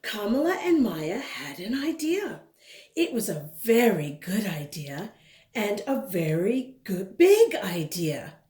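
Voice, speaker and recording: Woman doing kids storytime, super lively n unhurried, chatty asides, echoey room. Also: medium pitch. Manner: expressive, conversational asides to young viewers. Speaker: storybook reader, host. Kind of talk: animated read-aloud for children. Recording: roomy indoor echo.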